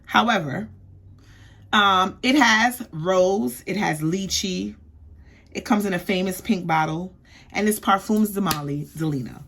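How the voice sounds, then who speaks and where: A woman's voice: mid-range voice, a little slow, fairly bright tone, breathy edge. A young woman from the East Coast giving a chatty, sassy perfume review, often checking in with "okay?". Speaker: beauty vlogger.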